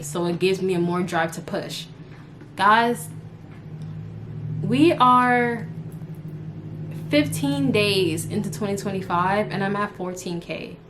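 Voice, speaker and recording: A woman's voice: mid-range voice, fairly bright tone. Early 20s girl streamer just yapping to her followers, unscripted n slangy, rambly, noisy background. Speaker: YouTuber and live streamer. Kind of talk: casual unscripted chat to followers.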